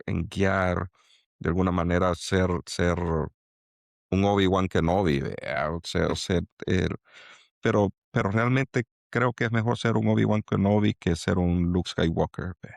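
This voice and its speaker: A middle-aged man from El Salvador with a Salvadoran accent, speaking as a guest in an interview and pausing to restart his thoughts. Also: sits low, gravelly, no echo. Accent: Salvadoran Spanish. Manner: halting, many restarts and fillers. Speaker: angel investor guest. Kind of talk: reflective interview talk.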